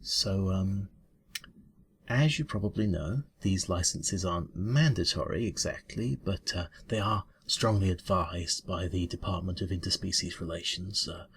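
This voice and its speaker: Man as a mumbling, creaky cat bureaucrat. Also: low-pitched, a bit bright, full character voice. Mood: hesitant, officious. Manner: mumbling, halting, stammering, politely officious. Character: slightly ruffled, hesitant male cat bureaucrat interviewer. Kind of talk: ASMR roleplay interview. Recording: clear background noise.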